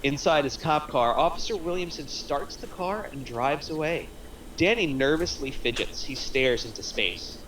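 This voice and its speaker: A man's voice: on the high side, a bit bright, low-quality recording. A man reading stage directions as narrator at a screenplay table reading. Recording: faint room noise.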